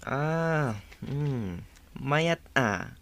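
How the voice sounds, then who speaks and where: An actor playing a mild, questioning husband in a radio drama, with an Ilocano accent. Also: male voice, medium pitch, lively intonation, very slow, a bit bright. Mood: mildly curious.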